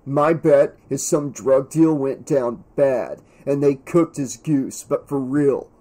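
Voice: mid-range voice, slow pace, warm tone. A narrator voicing a brash, callous teenage boy in an audiobook, cocky and emphatic as he gossips. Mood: gleeful. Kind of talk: audiobook character dialogue.

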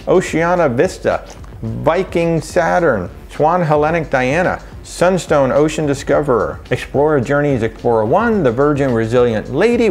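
A middle-aged man recording a casual travel vlog from a home office, running briskly through a list with wry asides. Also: on the high side, darkish tone. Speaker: travel vlogger. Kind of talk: casual rundown to camera.

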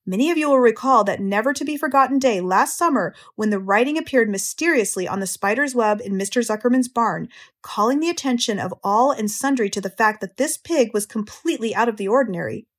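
A woman's voice: on the high side, expressive, fairly quick. A woman voicing a pompous, grandiose fairground announcer making formal proclamations in a storybook. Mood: pompous, proud. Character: pompous fairground announcer booming over a loudspeaker.

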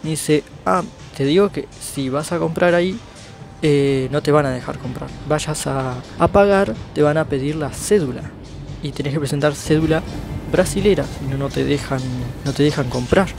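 A Uruguayan man in his thirties chats straight into a handheld action camera, walking city streets and store aisles. His voice sits a little high, and he keeps calling out to his viewers in a loose, easy way. Music plays clearly underneath. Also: normal speed, darkish tone. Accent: Uruguayan Spanish. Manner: chatty, addresses viewers as "gente". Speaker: travel vlogger. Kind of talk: casual walking vlog. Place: city streets and inside shops. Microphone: action camera held close.

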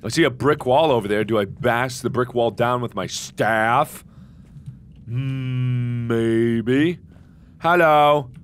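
A man with a hoarse voice giving jokey let's-play commentary into a headset, with sudden excited yelps. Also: medium pitch, takes it slowly, slight background noise. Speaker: gaming video host.

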